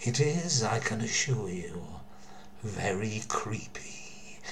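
An older man narrating a true mystery story in a theatrical, deliberate way, with emphasis and dramatic pauses. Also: fairly low pitch, lively intonation, vocal fry. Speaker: storyteller, former theatre worker.

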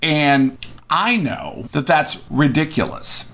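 A man's voice: a little high, animated, slow pace, fairly bright tone. A man co-hosting a podcast in casual chat, rambling with fillers and fond of puns. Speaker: podcast co-host.

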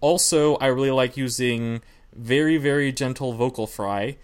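A man co-hosting a podcast on singing, explaining in a relaxed, rambling way. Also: medium pitch, moderate pace. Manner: relaxed, rambling, many fillers and restarts. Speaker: podcast co-host, singer. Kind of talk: casual podcast chat.

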